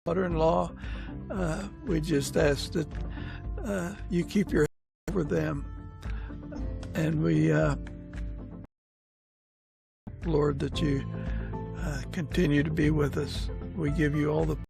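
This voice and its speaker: A man hosting a podcast interview in a conversational way, haltingly searching for his words. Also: fairly high pitch, steady tone, creaky, over music. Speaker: podcast host. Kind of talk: conversational interview with a prayer and sign-off.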